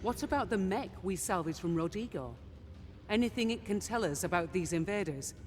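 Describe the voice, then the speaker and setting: An actress playing a businesslike, composed mercenary ship officer in a video game. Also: female voice, mid-range voice, expressive, fairly quick, darkish tone, soft background music, close to an everyday voice. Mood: composed, serious. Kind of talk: cinematic game voice acting.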